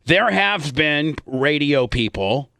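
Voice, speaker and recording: A man's voice: fairly high pitch, expressive, takes it slowly, sharp tone, no echo. A man hosting a radio show with unscripted talk, blunt and salty in the way he speaks.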